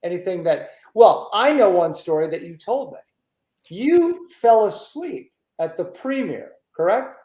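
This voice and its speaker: A man's voice: high voice, sing-song, measured pace, darkish tone. A man hosting a conversational interview in an effusive way, often repeating his phrases as he goes. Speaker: host. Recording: echoey room sound.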